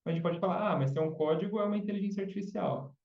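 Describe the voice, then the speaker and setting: A man's voice: high-pitched, very fast, creaky. Man explaining from a distance in a roomy space. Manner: explains patiently, often adds tag questions. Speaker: podcast guest, chatbot specialist. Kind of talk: casual explanatory interview talk. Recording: dull, roomy sound from off mic.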